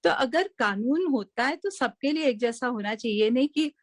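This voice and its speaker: A woman's voice: fairly high pitch, expressive, moderate pace, dry recording, clean studio sound. A woman artist giving a spontaneous, emphatic statement, repeating words as she goes. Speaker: performing artist giving opinion.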